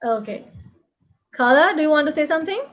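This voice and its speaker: A woman with a Pakistani accent hosting an online show, speaking English as a second language in a halting, repetitive, effusive way. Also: high voice, animated. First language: not English. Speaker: host. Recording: dull, lo-fi online call audio.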